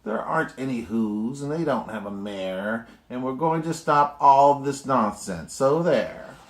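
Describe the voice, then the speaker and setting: Rowdy, jeering monkey brothers scoffing loudly, as a dad puts them on at story time. The taunts come slowly and echo around the room. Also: male voice, mid-range voice, expressive, close to an everyday voice. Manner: jeering, shouting. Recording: roomy echo.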